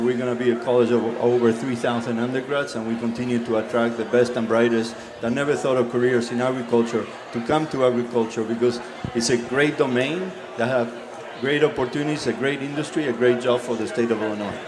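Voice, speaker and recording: A man with a deep Southern accent, a college dean speaking on a panel, hesitant with many fillers. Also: fairly even intonation, fairly quick, few pauses, a crowd in the background. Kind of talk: panel discussion remarks.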